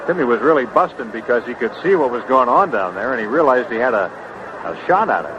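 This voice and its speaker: An American man calling baseball play-by-play in a conversational, unhurried way from a broadcast booth. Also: mid-range voice, lively intonation. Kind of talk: live sports commentary. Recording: muffled, noisy vintage baseball broadcast.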